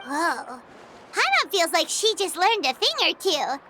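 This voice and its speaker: A woman voicing a tiny, cheerful, childlike companion creature in a video game, squeaky, bubbly and eager. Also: big pitch swings, a little slow, noisy background, full character voice. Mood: impressed, curious. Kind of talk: scripted video game voice acting. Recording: music behind the voice.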